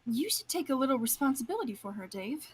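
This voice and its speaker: A woman playing a composed, gently chiding high school club president in a visual novel, with a slightly husky voice. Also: big pitch swings, quick pace, dry recording, full character voice. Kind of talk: visual novel character acting.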